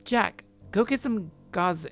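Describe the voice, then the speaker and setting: A woman's voice: medium pitch, lively intonation, slow, faint music behind, voice pushed up. A woman voicing an anxious young woman in a visual novel, urgent and stammering, eager to help. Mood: frustrated.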